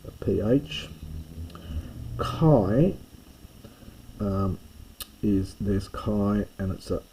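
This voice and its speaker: A man's voice: lowish voice, lively intonation, very slow, warm tone, a little hiss or hum, rough recording. A man teaching a lesson, plainly explaining with fillers and stressing each new letter name. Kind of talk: classroom lesson on a whiteboard.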